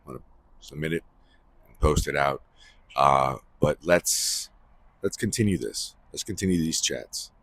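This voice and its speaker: A man with a husky voice giving a rambling, unscripted spiritual monologue, emphatically repeating phrases for stress as he hosts. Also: very deep voice, unhurried, long pauses, fairly bright tone. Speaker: host of a spiritual series.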